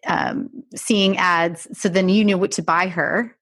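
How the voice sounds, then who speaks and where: A woman hosting a conversational business podcast interview, agreeable and quick to affirm what her guest says. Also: lowish voice, fairly even intonation, fairly bright tone, no echo. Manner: agreeable, frequent short affirmations. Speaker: podcast host.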